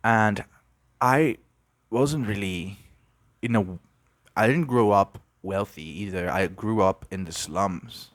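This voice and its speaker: A young man from Brazil hosting an unscripted podcast, musing and halting, with many restarts. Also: lowish voice, no echo, clean studio sound. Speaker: podcast host. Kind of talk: unscripted reflective monologue.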